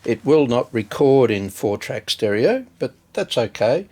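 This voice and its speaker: A man giving a casual, unscripted hands-on demonstration as a hobbyist video host, hesitant and halting as he thinks aloud. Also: medium pitch, big pitch swings, dark tone.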